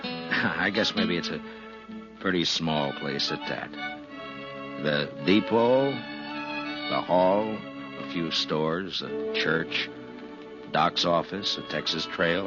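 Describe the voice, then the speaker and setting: An actor playing an easygoing, weary frontier marshal, telling his story haltingly in a 1950s radio western style. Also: low-pitched. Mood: musing. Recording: muffled 1950s radio recording with music.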